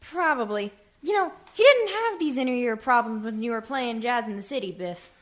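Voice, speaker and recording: A woman's voice: fairly high pitch, lively intonation, normal speed, a bit bright. A woman playing an incredulous, pleading sibling in a comic radio play, protesting in disbelief. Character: incredulous, pleading younger sibling from a jazz family.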